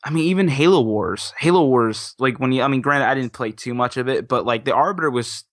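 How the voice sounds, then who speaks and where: A man's voice: mid-range voice, fairly quick, dry recording, studio quality. A man on a podcast panel chatting casually in loose, conversational phrases. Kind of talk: casual chat.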